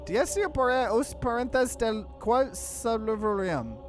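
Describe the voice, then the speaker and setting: Man as eerie hidden horror-game creature, raised pitch, halting drawn-out foreign phrases, music behind. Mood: eerie, urgent. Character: eerie unseen creature speaking from a kitchen cabinet.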